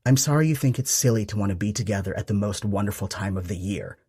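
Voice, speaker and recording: A man's voice: fairly low pitch, fast, warm tone, husky. A man voicing a prim, passive-aggressive middle-aged mother in an audiobook. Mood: reproachful. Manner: prim, reproachful.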